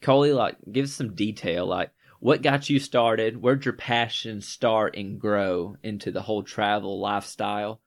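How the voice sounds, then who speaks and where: A man's voice: lowish voice, measured pace, hardly any pauses. A man in his late twenties from Northwest Georgia co-hosting a podcast, telling stories earnestly. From Northwest Georgia, USA. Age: 20s. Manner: earnest, storytelling. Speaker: podcast co-host. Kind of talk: casual podcast chat.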